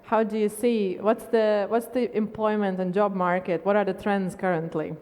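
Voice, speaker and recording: A woman's voice: mid-range voice, flat delivery, moderate pace, darkish tone. A woman, moderating a conference talk in a conversational way. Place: large conference stage. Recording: reverberant conference hall.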